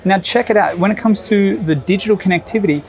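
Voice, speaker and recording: Higher-voiced man demonstrating a product. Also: quick pace, creaky, a crowd in the background.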